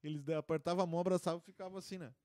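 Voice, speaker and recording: Podcast guest, man, rambling anecdotes, slightly high voice, dry sound. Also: fairly even intonation, racing, a little mellow, dry recording. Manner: rambling storyteller with many restarts and fillers. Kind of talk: casual chat.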